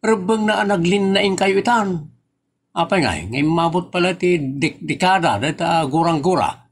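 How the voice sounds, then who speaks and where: A man's voice: high-pitched, normal speed. A man playing a teasing nephew in a comedy audio drama, talking in a playful way. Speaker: nephew teasing his aunt.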